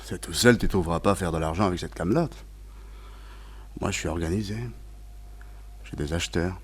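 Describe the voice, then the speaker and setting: Husky, low-voiced gangster. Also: male voice, fairly quick. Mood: sly, bargaining. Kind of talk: French radio crime drama acting.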